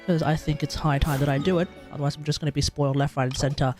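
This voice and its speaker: A man's voice: fairly high pitch, flat delivery, racing, darkish tone, faint music behind. A man with an Australian accent hosting a game livestream in casual, rambling, hesitant chat. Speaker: livestream host, gamer.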